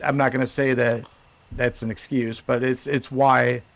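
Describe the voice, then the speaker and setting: A man's voice: mid-range voice, little pitch movement, normal speed, gravelly, dry recording. Rambling man with a dry close sound. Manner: rambling, many restarts and "like" fillers. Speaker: podcast co-host. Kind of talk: casual podcast chat.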